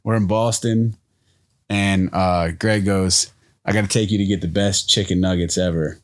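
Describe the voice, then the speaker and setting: A young man co-hosting a podcast in casual chat, energetic and storytelling, often laughing. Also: deep voice, moderate pace. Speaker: podcast co-host.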